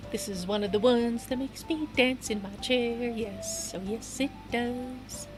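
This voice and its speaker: A woman livestreaming a game, chatting in a loose, rambling way with a hoarse voice and easy laughs. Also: on the high side, slow, pauses often, low-quality recording. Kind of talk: casual rambling chat while gaming. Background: upbeat game music.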